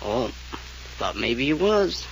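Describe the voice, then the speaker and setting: A man's voice: mid-range voice, big pitch swings, slow, gravelly, heavily acted. An actor playing a tipsy, flippant, well-bred man, giggly and mock-polite, in a 1940s radio mystery. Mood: wry, mocking. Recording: noisy old radio transcription.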